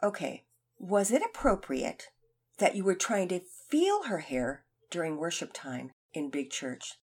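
A woman voicing a calm, serious male Sunday school teacher who speaks evenly and patiently. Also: lowish voice, slightly rough, heavily acted. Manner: calm, measured.

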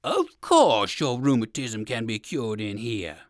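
A woman voicing a sly, hungry big bear in a children's storybook, coaxing with quiet menace. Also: a bass voice, huge pitch swings, no echo, clean studio sound, voice pushed down, heavily acted. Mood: wheedling. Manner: slow, coaxing, menacing salesman.

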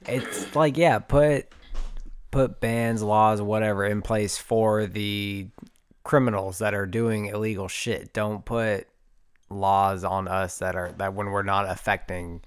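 A man's voice: lowish voice, fairly even intonation, slow, smooth, no room sound. A man co-hosting a podcast, talking in loose banter and laughing often. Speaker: podcast co-host. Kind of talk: casual chat.

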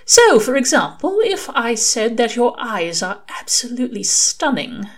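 A woman's voice: a little high, very animated, measured pace, pitched above the performer's own voice. A woman voicing a prim, earnest male angel in an audiobook, with formal, fussy and hesitant phrasing. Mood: hesitant, flirtatious. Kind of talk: audiobook character dialogue.

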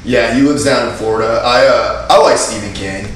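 A man's voice: medium pitch, a little fast, fairly bright tone, distant mic. A man from Maine hosting a podcast, chatting casually and informally about local music with some swearing. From Maine, USA. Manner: profane, informal. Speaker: podcast host. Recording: very echoey room, off-mic, faint noise.

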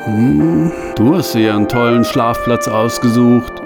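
A man's voice: medium pitch, measured pace, vocal fry, over loud music. A man voicing a chummy, boastful male companion who talks in a jovial way.

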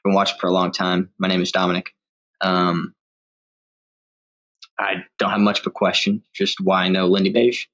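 A man's voice: sits low, little pitch movement, normal speed, pauses often, fairly bright tone. A man leaving an informal recorded message as a viewer, in dull lo-fi sound.

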